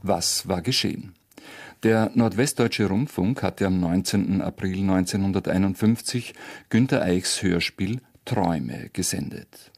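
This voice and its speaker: A man's voice: low-pitched, measured pace, creaky, darkish tone. A man hosting a radio programme with scripted narration. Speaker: radio host.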